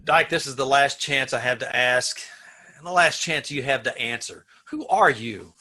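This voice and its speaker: A man's voice: medium pitch, normal speed, sharp tone. A man acting an earnest prison warden in an old-time radio play, speaking emphatically and pleading with a condemned prisoner. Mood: grave, considerate. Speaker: amateur radio-troupe actor.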